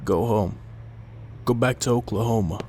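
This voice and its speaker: A man's voice: on the low side, moderate pace, warm tone, a little hiss or hum, natural voice. A man playing a stern official, formal and admonishing, in an audio drama. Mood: firm, admonishing.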